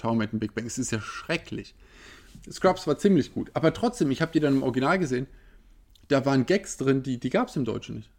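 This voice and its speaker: A man in his thirties ranting in a casual, animated way, firing off rhetorical questions. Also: mid-range voice, gravelly. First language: German. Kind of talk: casual opinion monologue.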